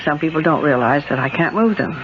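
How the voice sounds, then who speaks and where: Gentle, guarded woman, noisy radio recording. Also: sits low, animated, fast. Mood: gentle, sympathetic. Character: gentle, guarded woman whose hands are paralyzed. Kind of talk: 1970s radio drama acting. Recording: noisy, dull vintage radio broadcast.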